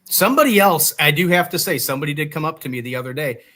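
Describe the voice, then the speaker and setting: A man's voice: fairly high pitch, expressive, fast talker, sharp tone. A man co-hosting a casual livestream chat show, joking and self-deprecating in banter with his co-host.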